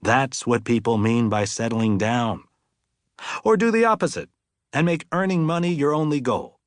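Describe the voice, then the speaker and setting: A man voicing an earnest, reflective middle-aged father in an audiobook, musing deliberately and with emphasis. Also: mid-range voice, no room sound, studio quality, lightly acted. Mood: earnest, philosophical.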